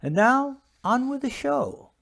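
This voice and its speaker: Lively, creaky-voiced male announcer. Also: very high voice, slow pace. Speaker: MC introducing a show. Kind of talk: scripted announcing.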